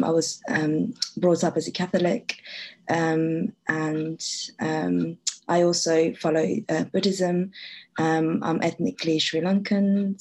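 Guest, female, low flat voice, halting delivery full of fillers. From France. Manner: hesitant, many ums, searching for words. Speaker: occupational therapist, guest. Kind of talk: podcast guest conversation.

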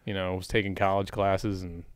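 Low-voiced man in his forties, dry quips. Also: flat delivery, normal speed, clear, resonant tone. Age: 40s. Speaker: podcast co-host, editor. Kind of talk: casual sports chat.

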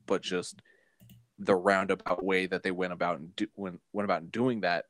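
A man co-hosting a podcast in casual film talk, halting and often repeating his phrases. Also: sits low, little pitch movement, fairly bright tone, dry recording. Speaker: podcast co-host.

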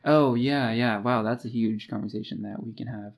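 A man's voice: mid-range voice, steady tone, moderate pace, gravelly. Man casually recapping a book, wry. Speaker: podcast co-host.

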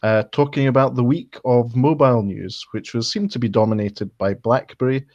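A man's voice: mid-range voice, moderate pace, darkish tone, no room sound, dull audio. A man hosting a podcast, asking questions in a friendly, hesitant way, with many restarts. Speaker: podcast host. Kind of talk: casual interview.

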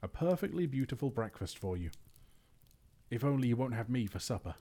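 A man's voice: mid-range voice, animated, a little slow, a little mellow, slightly rough, pitched above the performer's own voice. A man voicing a small, frightened male hobbit who stammers and pleads breathlessly, raising his voice well above his own. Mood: frightened pleading.

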